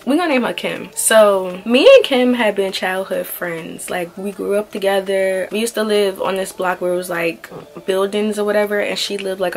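A woman in her early twenties telling a story casually and informally, sounding a little tired and nasal from a head cold. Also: medium pitch. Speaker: vlog host. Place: small indoor room with clothing rack.

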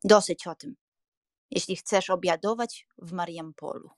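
A woman's voice: fairly low pitch, steady tone, measured pace, no echo, natural voice. Stern seventy-year-old matriarch played by a woman, scolding and proud, dry audiobook recording. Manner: scolding, imperious. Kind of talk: acted audiobook dialogue.

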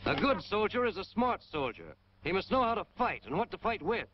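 A man's voice: high-pitched, big pitch swings, fairly quick. An American man narrating a 1940s military training film in a hard-boiled, punchy, emphatic way. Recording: dull, noisy 1940s film soundtrack.

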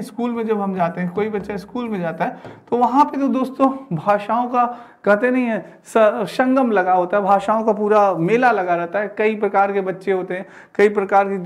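A man in his thirties giving an explanatory classroom lecture, repeating phrases and asking rhetorical questions. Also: high voice. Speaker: teacher giving an exam-prep class. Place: indoor studio with digital teaching board.

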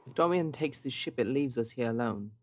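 A man's voice: mid-range voice, lively intonation, a little fast. A man playing in a tabletop roleplaying podcast, speaking in character and describing what the character does. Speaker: player in an actual-play podcast.

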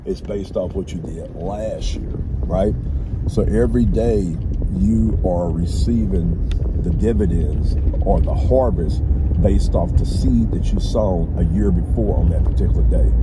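A middle-aged man vlogging from a moving car, giving earnest motivational talk with frequent "right?" and "all right", recorded on a dashboard camera. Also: low-pitched. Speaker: vlogger and small business owner. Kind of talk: casual motivational vlog talk. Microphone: camera mounted on dashboard. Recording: loud road noise in car. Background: car and road noise.

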